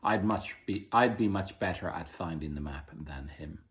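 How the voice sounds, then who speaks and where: A man's voice: deep voice, moderate pace, natural voice. A man reading the lines of a bold but frightened young girl. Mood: indignant. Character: bold, scared young girl sky pirate.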